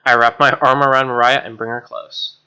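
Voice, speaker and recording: A man's voice: mid-range voice, flat delivery, a little fast, pushed and bright, clear, resonant tone, low-quality recording. A young man reading a visual novel's narration aloud with casual asides as he plays. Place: small home room, indoors. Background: game music.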